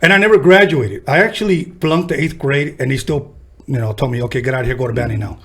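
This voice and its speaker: A man in his fifties from the Los Angeles harbor area hosts a casual podcast interview. His voice sits at a middle pitch, and he talks in a laid-back, joking way. He sounds like a storyteller among friends. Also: quick pace, fairly bright tone, slightly rough. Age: 50s. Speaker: podcast host.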